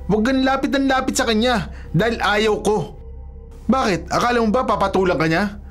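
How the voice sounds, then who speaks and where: Casting: jealous rich Filipino villain, notably high-pitched, haughty bully, radio drama with music behind. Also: male voice, moderate pace, a bit bright. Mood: threatening. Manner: haughty, threatening. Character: arrogant, jealous rich fiancé, bullying and menacing. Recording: music bed under the voice.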